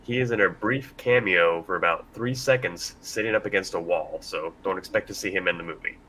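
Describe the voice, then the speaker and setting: Deep-voiced man hosting a casual panel. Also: a little fast, pushed and bright, a little hiss or hum, rough recording. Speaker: panel host. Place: convention panel room.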